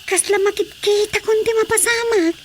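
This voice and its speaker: A woman acting a practical, fussing member of a village family, animated, in a Filipino radio drama comedy. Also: very high voice, moderate pace. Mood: practical, concerned. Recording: steady faint background noise.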